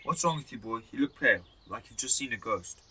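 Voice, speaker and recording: A man's voice: mid-range voice, lively intonation, fast talker, sharp tone, a little hiss or hum. A man acting a grumpy, blunt elderly husband in an amateur radio drama. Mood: irritable.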